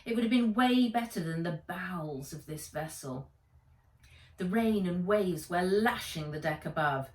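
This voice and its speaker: A woman reading a children's adventure story aloud in an expressive, deliberate storytelling manner. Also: mid-range voice. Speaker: author reading own book aloud. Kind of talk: dramatized children's audiobook narration. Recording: roomy echo, distant microphone.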